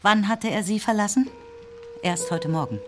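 A woman's voice: mid-range voice, normal speed, over music. A woman narrating a literary radio drama in scripted prose, with birdsong behind her.

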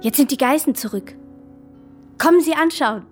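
An actress playing a lively, artless young farm girl in a German radio play, with eager, unguarded rustic speech. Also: high-pitched, moderate pace, soft background music, lightly acted. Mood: eager, bright.

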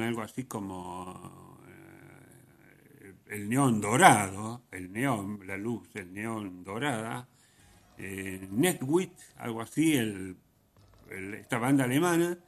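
An Argentine man hosting radio with an informal, opinionated monologue, speaking in a vehement, slangy, irreverent way with halting repetitions and tags. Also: lowish voice. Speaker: radio host.

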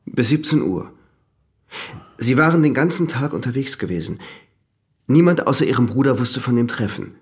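Mid-pitched, methodical examining magistrate played by a man. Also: lively intonation, natural voice. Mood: probing, controlled. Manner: measured, formal, insistent questioning.

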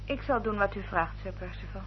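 An actress in a Dutch radio play, playing a reserved, dutiful English gentlewoman with a formal, restrained manner. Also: medium pitch, brisk, natural voice. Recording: dull, noisy old radio drama recording.

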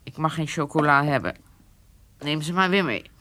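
A woman's voice: deep voice, expressive, normal speed, pushed and bright. An actress playing a proud, unforgiving old woman in a radio drama, with a curt, cutting manner. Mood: curt, suspicious. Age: older. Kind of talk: naturalistic radio drama dialogue.

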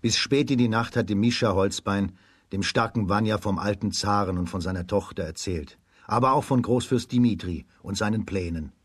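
A man narrating a children's radio play as a scripted storyteller, in a husky voice. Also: on the low side, normal speed, no echo, studio quality.

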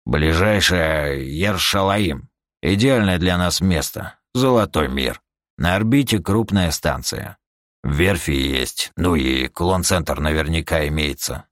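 Мужчина изображает ворчливого наёмника-выпивоху с низким голосом. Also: male voice, a bass voice, animated, slow, fairly bright tone, dry recording, studio quality, exaggerated voice. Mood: sluggish, grumbling.

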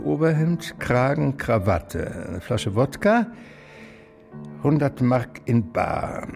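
An actor playing a cool, imperious doctor in a German radio drama, brisk, formal and condescending. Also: male voice, mid-range voice, vocal fry, a little mellow.

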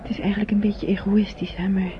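A woman acting an earnest, devoted young woman in an old radio drama, with soft, halting, often half-whispered delivery on a muffled recording. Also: mid-range voice, little pitch movement, a little hiss or hum, close to an everyday voice. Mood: halting grief.

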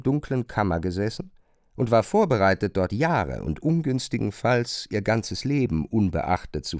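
A man narrating a literary radio play from a script, in measured, carefully phrased long sentences on a close studio microphone. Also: mid-range voice, darkish tone, dry recording.

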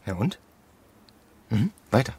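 An actor playing a pushy, blunt activist in a radio drama, husky and insistent, talking in a colloquial, prodding way. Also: male voice, on the low side, big pitch swings, takes it slowly, darkish tone, slight background noise, exaggerated voice. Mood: insistent, instructing. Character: pushy, blunt male activist coaching a young woman. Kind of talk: naturalistic radio drama acting.

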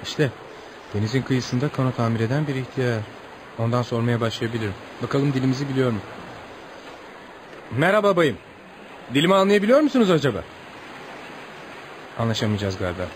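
A man's voice: mid-range voice, sing-song, a little fast, pauses often, lightly acted. A man acting in a radio play as an eager, stubborn American travel writer, chatty and exclamatory. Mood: searching, musing. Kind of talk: radio play acting with spoken-aloud thoughts. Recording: clearly noisy radio drama recording.

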